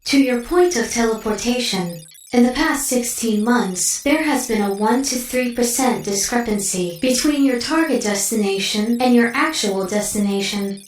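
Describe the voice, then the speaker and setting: Roomy, high-pitched clinical female AI played by an actress. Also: normal speed, far from the mic, soft background music, close to an everyday voice. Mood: matter-of-fact. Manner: precise, formal, literal and detached. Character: coolly clinical female artificial intelligence delivering blunt data. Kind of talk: audio drama acting.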